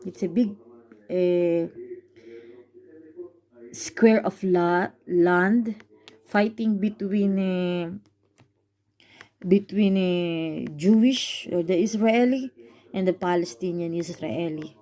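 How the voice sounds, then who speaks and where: Filipino woman, caregiver vlogger, unhurried and hesitant delivery with a mellow tone, casual explainer. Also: on the low side. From Philippines. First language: Tagalog. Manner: halting, searching for words with many fillers.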